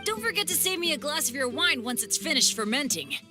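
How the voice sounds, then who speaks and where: A woman's voice: high voice, big pitch swings, a little fast. A woman voicing a friendly, festive young woman in an anime-style game. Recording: music behind the voice.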